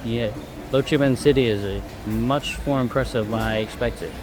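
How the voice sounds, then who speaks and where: A man chatting casually while filming a travel vlog outdoors in a city, thinking aloud in a relaxed way. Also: medium pitch, normal speed, over music. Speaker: travel vlogger. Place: outdoors in a busy city street. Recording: street noise behind. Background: traffic and city ambience.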